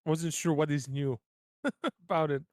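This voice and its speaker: Higher-voiced man, dry recording. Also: unhurried, no room sound. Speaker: tabletop game player. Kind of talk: casual chat.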